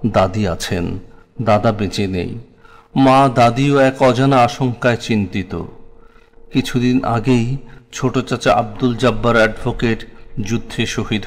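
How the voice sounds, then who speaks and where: A man with a Bangladeshi accent reciting a scripted memoir in a solemn, dramatic, literary way. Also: medium pitch. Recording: long hall-like reverb.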